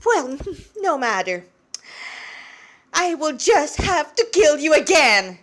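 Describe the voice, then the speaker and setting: A woman's voice: sits high, big pitch swings, takes it slowly, a bit bright, voice pushed up, full character voice. A woman voicing a furious, incredulous, menacing demon lieutenant in an amateur audio story.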